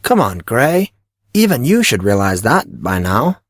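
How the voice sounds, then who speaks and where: A man's voice: mid-range voice, wildly swooping pitch, unhurried, dry recording, clean studio sound. A man playing a cocky, breezy teenage schoolboy who talks in slangy, self-assured teasing for an audio drama. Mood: cocky, explaining. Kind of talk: audio drama character reading.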